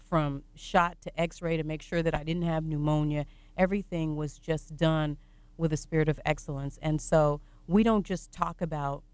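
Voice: medium pitch, a little mellow, clear, resonant tone, no echo. A radio show host interviewing a guest, affirming often and telling a personal story. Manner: affirming, frequent backchannel.

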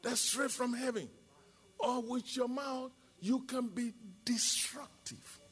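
A man's voice: very high voice, steady tone, takes it slowly, fairly bright tone, husky. A middle-aged man with a Nigerian accent, a native Yoruba speaker, preaching an impassioned sermon as a pastor with emphatic repetition and rhetorical questions.

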